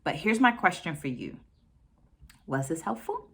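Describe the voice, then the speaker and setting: Female educator-host, low register, thoughtful direct-to-viewer talk, deliberate phrasing with repetition for emphasis. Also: big pitch swings, fairly bright tone, slightly rough. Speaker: educator and video host presenting book takeaways. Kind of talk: reflective talk to camera for an online video.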